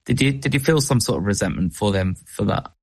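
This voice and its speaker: Male interviewer. Gently probing, conversational questions in a fairly low voice. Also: animated, brisk, husky.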